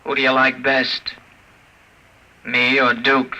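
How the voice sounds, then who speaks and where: An actor playing a gentle, naive male robot in a 1950s radio drama, asking simple, earnest questions. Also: medium pitch, completely flat delivery, slow, low-quality recording. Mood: plaintive.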